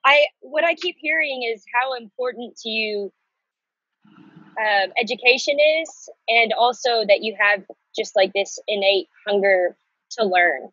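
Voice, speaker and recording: A woman's voice: on the high side, unhurried, a bit bright, no room sound. A young woman interviewing her guest on a video call, asking short friendly questions. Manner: friendly, asks short questions, laughs along. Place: indoors by a window. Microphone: laptop webcam on a video call. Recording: dull, dry laptop-mic sound.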